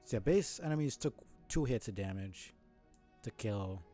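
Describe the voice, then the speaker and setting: Low-voiced man muttering over gameplay. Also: lively intonation, normal speed, warm tone, faint music behind. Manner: casual, frustrated muttering and swearing while playing. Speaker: streamer. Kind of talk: live gameplay commentary.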